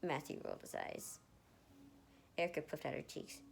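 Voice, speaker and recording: A woman reading fan fiction aloud in an expressive amateur way, sometimes stumbling and re-reading words. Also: sits low, normal speed, vocal fry, breathy edge. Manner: animated, stumbles and re-reads words.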